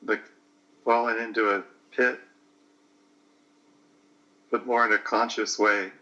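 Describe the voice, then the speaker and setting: A man nearing fifty, talking in a halting, searching way that often trails off mid-thought. His low voice has a sharp, tense brightness. The sound is muffled, like lo-fi stream audio. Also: flat delivery. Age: 40s. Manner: hesitant, searching for words, trailing off. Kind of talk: halting personal reflection.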